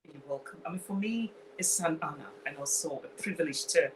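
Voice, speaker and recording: A woman hosts an interview in a lower-pitched voice, effusive and circling back with "I mean" and "you know". Faint background noise sits behind her. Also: a little fast. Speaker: host. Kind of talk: interview hosting.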